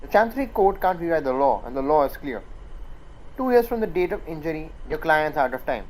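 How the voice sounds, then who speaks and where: Smug company lawyer young performer acting, medium pitch, measured, mocking, radio drama. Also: big pitch swings, lightly acted, low-quality recording. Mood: mocking, confident. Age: young adult. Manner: measured, sardonic. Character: smug, condescending male corporate lawyer.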